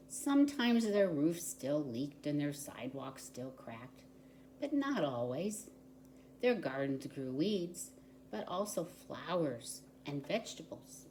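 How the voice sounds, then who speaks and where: A woman reading a picture book aloud to young children in an expressive, deliberate storytelling manner. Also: sits low, slight background noise. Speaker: volunteer story reader.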